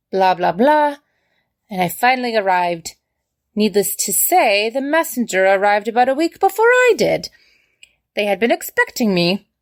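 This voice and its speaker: A woman playing a fussy, outspoken hobbit who huffily tells her tale in a letter, in an audio drama. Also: on the high side. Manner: huffy, prim, chatty storytelling.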